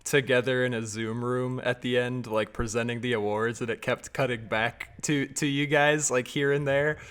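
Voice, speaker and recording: A man's voice: medium pitch, fairly even intonation, moderate pace, fairly bright tone, clean tone. Laid-back young man hosting a podcast. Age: 20s. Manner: laughs often, stumbles and repeats words. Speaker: podcast host. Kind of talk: casual video-call chat.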